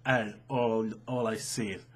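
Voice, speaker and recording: A young British man with a slightly husky voice, telling a personal story casually in an animated, sweary, self-mocking way. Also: lowish voice, unhurried. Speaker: online storyteller.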